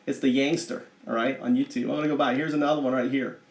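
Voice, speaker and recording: A man's voice: mid-range voice, level tone, fast talker, natural voice. A man putting on an American Southern accent as a brash, folksy redneck livestream host who rambles, boasts and swears casually to his viewers. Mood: chatty, rambling. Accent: put-on American Southern. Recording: roomy, echoing livestream audio.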